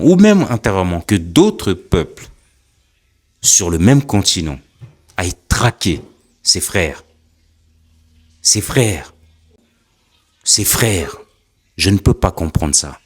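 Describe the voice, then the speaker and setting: A man delivering earnest spoken commentary, building his point through repeated rhetorical questions. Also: low voice. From Africa.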